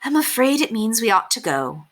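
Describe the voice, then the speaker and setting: A woman playing a prim, earnest young governess, lively pitch. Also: normal speed. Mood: warm, reluctant. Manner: proper, formal, polite phrasing.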